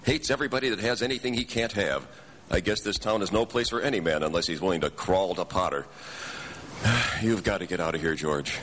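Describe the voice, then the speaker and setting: A kindly older father, tired but caring, in a live radio drama. His voice sits on the low side, with slight noise in the recording. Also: brisk, fairly bright tone. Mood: resigned. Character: weary, kindly older father running a small business. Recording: faintly noisy live radio stage broadcast.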